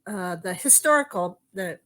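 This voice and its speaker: A woman's voice: fairly low pitch, lively intonation, normal speed, bright, forceful tone. A woman co-hosting a casual quilt shop video, chatting and rambling as she hunts for words while showing her craft projects. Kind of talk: casual show-and-tell chat.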